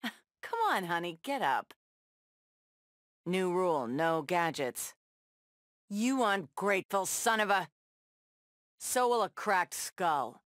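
An actress playing a tough, sardonic superheroine brawler in a video game, tossing out sassy, taunting one-liners. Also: female voice, on the low side, big pitch swings, slow, pauses often, a bit bright, dry recording, studio quality, heavily acted. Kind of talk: video game fight banter.

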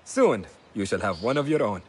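An actor playing an alert, supportive assassin mentor in a video game cutscene. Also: male voice, on the low side, very animated, moderate pace, heavy background noise. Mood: warm, encouraging.

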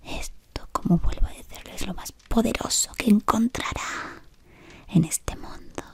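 A woman whispering as a fawning dark-wizard servant. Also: medium pitch, measured pace, vocal fry, breathy edge, exaggerated voice. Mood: devoted whisper. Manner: softly whispering close to the mic, deferential and fawning. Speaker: ASMR roleplay creator. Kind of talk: whispered ASMR roleplay. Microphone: close sensitive mic at face level.

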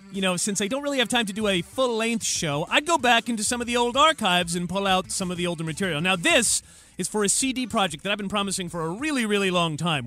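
A man's voice: sits high, pushed and bright. A man hosting a comedy podcast, talking casually and solo in a wry, self-deprecating, rambling way.

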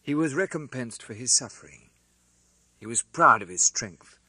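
A man narrating a classic novel as an audiobook, with a theatrical, expressive delivery. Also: medium pitch, moderate pace, husky, no echo.

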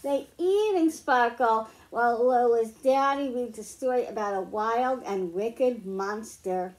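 A middle-aged woman reading picture books aloud to young children, explaining slowly and clearly at home. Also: on the high side, rough recording. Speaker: children's librarian. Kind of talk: storytime read-aloud for kids.

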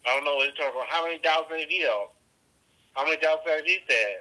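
A man's voice: on the high side, very fast, sharp tone. A man calling in over a phone line, defending himself insistently and repeating his points. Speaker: caller, church deacon.

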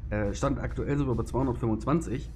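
A man's voice: mid-range voice, fast talker, darkish tone, soft background music. A man giving rambling, filler-heavy gameplay commentary as a let's play host. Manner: chatty, filler-heavy, self-deprecating.

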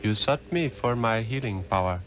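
An actor playing a wise, aged mystic master in an old radio drama, speaking solemnly and formally. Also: male voice, fairly low pitch, moderate pace, lightly acted. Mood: knowing, calm. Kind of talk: 1960s radio drama acting. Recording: old radio drama recording under loud music.

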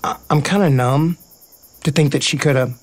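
A man's voice: a little high, normal speed, natural voice. Man acting a boyish, casual ballplayer. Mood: subdued. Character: playful, sincere, boyish male baseball player. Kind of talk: naturalistic audio drama acting.

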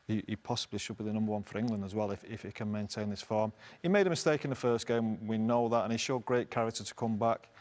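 A man in his forties with a somewhat low voice gives football analysis from a studio panel. He is halting, often repeating words while he gathers his thoughts. He is recorded on a lapel mic in a television studio. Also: a little mellow. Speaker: studio pundit. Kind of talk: post-match football analysis.

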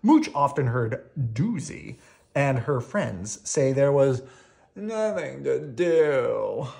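A storybook reader giving an expressive bedtime read-aloud in a theatrical, playful way with pauses. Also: medium pitch, gravelly.

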